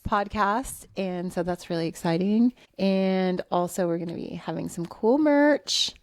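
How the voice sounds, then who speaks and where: A woman's voice: lowish voice, measured pace, dry recording. A woman co-hosting a paranormal podcast, chatting casually and upbeat, laughing often. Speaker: podcast co-host.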